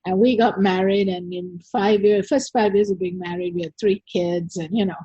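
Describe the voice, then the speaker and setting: Older sri lankan woman telling stories in an interview, husky, dry dull sound. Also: medium pitch, fairly even intonation, moderate pace, warm tone, no echo. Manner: storytelling, frequent fillers. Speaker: guest. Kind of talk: conversational interview.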